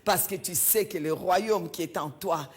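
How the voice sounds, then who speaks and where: A woman's voice: fairly low pitch, a little slow, a little mellow. A woman from Belgium, preaching a sermon in a church and stressing her points by repeating key phrases.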